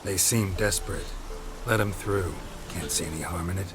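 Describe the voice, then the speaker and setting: An actor playing a stoic middle-aged monster hunter in a video game, with a low gravelly voice and a terse, deadpan manner. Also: male voice, moderate pace, over music, close to an everyday voice. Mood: calm.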